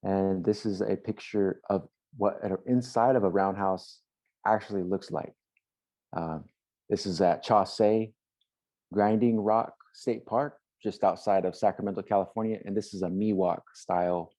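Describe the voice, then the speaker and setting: A man's voice: lowish voice, fairly even intonation, moderate pace, mellow. A man giving an online presentation over a video call, plainly explaining slides one by one.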